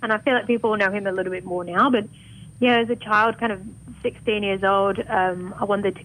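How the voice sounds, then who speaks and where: A woman with a mild north Welsh accent softened toward English, a singer talking openly and chattily as a radio interview guest. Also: mid-range voice, fairly even intonation, a little fast, rough recording. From Wales. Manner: chatty and open, laughs easily.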